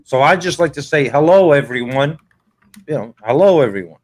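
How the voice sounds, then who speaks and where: A man's voice: a little high, expressive, moderate pace. A man born in Portugal chatting as a guest on a livestream panel, stumbling and repeating his words as he talks. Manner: repeats himself, stumbling restarts. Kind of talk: casual livestream chat.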